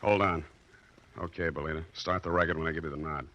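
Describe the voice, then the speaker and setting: A man's voice: a bass voice, steady tone, quick pace, bright, forceful tone, no room sound, highs cut off, heavily acted. An American actor playing a wisecracking, cocky male private detective in a wry, mock-pompous 1940s radio style. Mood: brisk, confiding.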